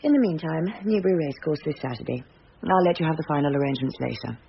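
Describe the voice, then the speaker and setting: An actress playing a brisk, probing British police superintendent, formal and dryly authoritative, in a radio drama. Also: female voice, sits low, lively intonation, vocal fry. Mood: dryly pleasant. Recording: dull, faintly noisy radio recording.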